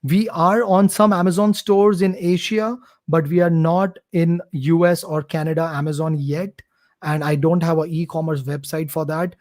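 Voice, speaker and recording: A man's voice: on the high side, steady tone, moderate pace, a little mellow. A man, talking eagerly as a guest on a live show. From India. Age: 30s. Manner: eager, talkative, promotional. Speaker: guest, course creator. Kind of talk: casual live-stream interview. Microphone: computer mic on a live video stream.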